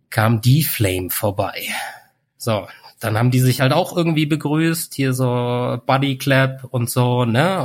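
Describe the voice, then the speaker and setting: A man in his forties telling stories in a relaxed, rambling way, full of fillers, as a guest in a casual chat. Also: medium pitch. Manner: relaxed storyteller, many fillers like "halt" and "irgendwie". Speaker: podcast guest.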